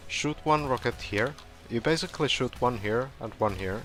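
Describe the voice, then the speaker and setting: A man casually talking through a game walkthrough, relaxed and rambling with fillers, his voice going hoarse. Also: medium pitch, moderate pace, faint music behind. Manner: relaxed, rambling, with frequent fillers and self-corrections. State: hoarse, clearing his throat. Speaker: gameplay tutorial streamer. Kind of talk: casual gameplay walkthrough commentary.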